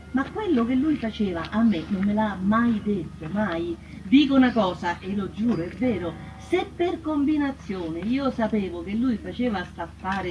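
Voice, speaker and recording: A woman's voice: mid-range voice, background noise, natural voice. An actress playing an embittered working-class Roman wife, telling her testimony in colloquial, emphatic dialect with repeated words. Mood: emphatic, bitter. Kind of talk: theatrical first-person testimony. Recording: dull, distant stage sound with music.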